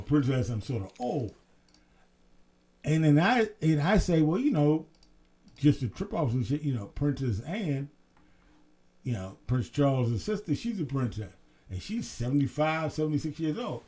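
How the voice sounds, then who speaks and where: A man co-hosting a casual late-night talk show, telling stories in an animated way and swearing freely. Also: on the high side, unhurried, pauses often. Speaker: co-host.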